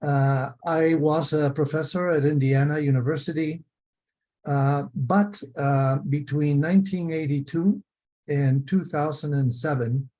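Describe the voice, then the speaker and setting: A man's voice: on the high side, steady tone, unhurried, warm tone. An older man from Spain with a Spanish accent gives an explanatory lecture over a video call, methodically repeating and rephrasing key points. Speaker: retired biomechanics professor, lecturer. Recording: dull, muffled video call audio.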